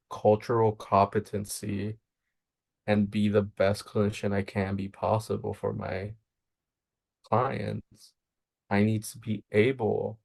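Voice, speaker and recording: Young male social worker, flat even delivery, earnest and measured, interview guest. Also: lowish voice, vocal fry. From Maryland, United States. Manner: earnest, measured, thinks aloud. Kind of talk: reflective interview answers.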